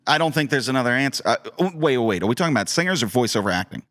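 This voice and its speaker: A man's voice: medium pitch, animated, racing, fairly bright tone. A man chatting casually as a podcast guest, emphatic and repeating himself for effect. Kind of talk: casual chat.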